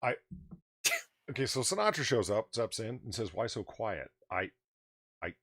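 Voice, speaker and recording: A man hosting a live stream, booming and blunt, swearing freely as he rants through the news. His voice sits low but leaps around in pitch, and the sound is dry and close. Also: animated, normal speed, a bit bright, dry recording. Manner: booming, profane, ranting. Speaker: host of a live comics news show. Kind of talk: opinionated live-stream commentary.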